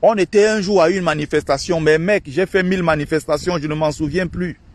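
A man with a husky, slightly high voice rants to his followers in an indignant, mocking tone. He speaks into a phone he holds outdoors by a lake in a park. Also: fairly even intonation. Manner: indignant, ranting and mocking, quick shifts in pitch. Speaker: online activist and channel host. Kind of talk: outdoor vlog monologue.

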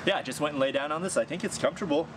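A man's voice: on the high side, very fast. A man in his thirties casually talking through his hiking gear in a sunny backyard, thinking aloud with fillers and small laughs. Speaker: hiking vlogger. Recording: outdoor noise behind the voice.

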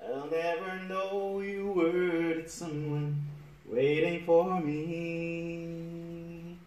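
A playful man in his thirties from the Philippines belts a love song into a vase as if it were a megaphone. His voice sits high and he takes his time with the words. The phone mic catches a roomy echo from the big home decor store around him. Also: flat delivery, very slow, noisy background. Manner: goofy, sings loudly through a vase like a megaphone. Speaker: vlogger. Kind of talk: playful singing vlog. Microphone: phone camera, often held at arm's length.

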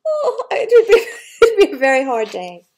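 High-voiced, animated woman telling a children's story. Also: moderate pace. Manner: exclamatory, wondering, addressing young listeners. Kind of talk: animated picture-book storytelling with asides. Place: bright living room with large glass doors.

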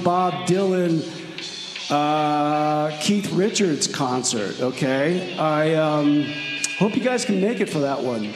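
Man playing a breezy time-traveler in echoing hall. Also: high voice. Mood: upbeat. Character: breezy, overconfident male time-traveler, chatty. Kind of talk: comedy radio theater, recorded message. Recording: echoey hall reverb, loud music behind.

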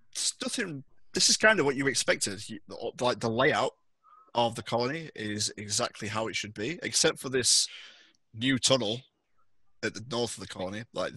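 A man's voice: mid-range voice, pushed and bright, husky, no room sound. A man narrating a tabletop roleplaying game as game master over a headset. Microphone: headset on a video call.

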